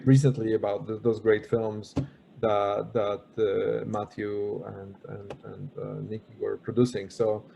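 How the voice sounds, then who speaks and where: A man with a Polish accent talks on a video-call panel in a gravelly, mellow voice. His pitch stays flat, and he stumbles and repeats his words as he goes. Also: slight background noise. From Poland. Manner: halting, repeats words often. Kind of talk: casual panel discussion.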